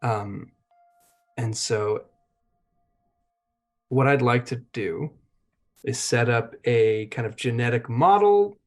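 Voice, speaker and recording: A man playing a tabletop game, describing his character's actions casually and deliberately, pausing to think aloud. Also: medium pitch.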